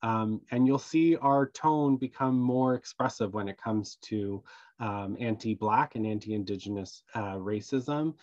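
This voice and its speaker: A man's voice: lowish voice, unhurried, a little mellow. A man hosting an online town hall with prepared remarks, speaking over a video call. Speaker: meeting host. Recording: dull, dry online call audio.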